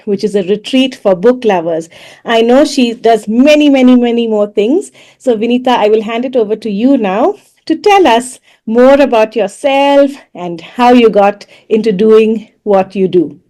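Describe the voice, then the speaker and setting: A woman from India, hosting the show and giving a measured, formal introduction of her guest. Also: fairly high pitch, warm tone. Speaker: host, teacher. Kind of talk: scripted introduction.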